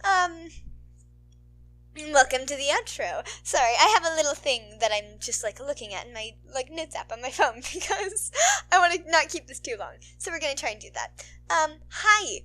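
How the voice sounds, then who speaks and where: A woman's voice: high voice, expressive, moderate pace, sharp tone. A woman podfic narrator who talks in an informal, playful way. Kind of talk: animated story narration and casual outro chat.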